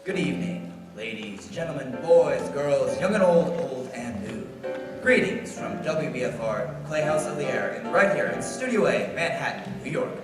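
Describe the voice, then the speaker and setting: A showy old-time radio host played by a man speaks from far off in a very reverberant hall, with loud music around him. He announces theatrically, in a lively, highish voice. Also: normal speed, natural voice. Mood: showy, welcoming. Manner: theatrical, declamatory. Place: stage in a hall before an audience.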